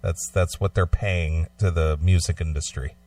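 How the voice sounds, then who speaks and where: A man's voice: sits low, fairly even intonation, moderate pace, darkish tone, recorded from a distance. A man co-hosting a radio talk show, reading out news items and adding casual commentary.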